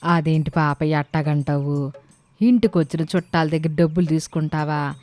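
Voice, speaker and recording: Woman acting a bossy, low-voiced village aunt. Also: fairly even intonation, moderate pace, darkish tone, soft background music, natural voice. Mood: reproachful. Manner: colloquial, scolding, preachy. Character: bossy, sensible older village aunt, female. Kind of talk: animated moral-story dialogue.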